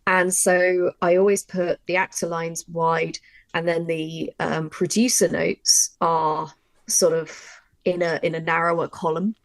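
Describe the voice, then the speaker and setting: A woman's voice: fairly low pitch, little pitch movement, unhurried, vocal fry, no room sound, poor audio. A woman co-presenting a workshop, explaining things in a casual, chatty way and thinking aloud with asides. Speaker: workshop co-presenter and audio drama writer.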